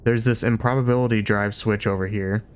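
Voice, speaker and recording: Man reading a hesitant, modest Earthman, low and smooth. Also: fairly even intonation, brisk, lightly acted. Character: modest, flustered ordinary Earthman.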